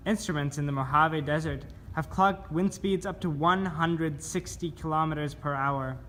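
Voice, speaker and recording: Higher-pitched man reciting, noisy recording. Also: normal speed, fairly bright tone. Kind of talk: scripted spoken-word narration of poetic survival instructions. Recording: clear background noise.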